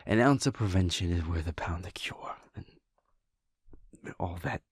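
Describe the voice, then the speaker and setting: A caring werewolf boyfriend, played by a man speaks slowly and earnestly in a low, husky voice, often dropping close to a whisper. The audio roleplay is recorded close to the mic in a dry room with no echo. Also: expressive, exaggerated voice. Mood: frustrated, serious.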